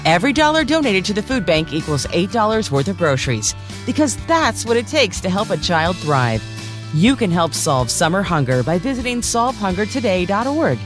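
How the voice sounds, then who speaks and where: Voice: mid-range voice, big pitch swings, moderate pace, music in the background. A speaker reading a scripted charity appeal for radio.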